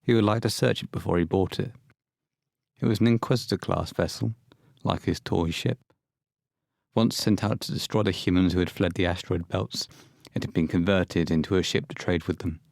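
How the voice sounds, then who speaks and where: A man's voice: deep voice, fairly even intonation, normal speed, gravelly, darkish tone, no room sound, clean studio sound. A man reading scripted narration as the storyteller of an audio horror play.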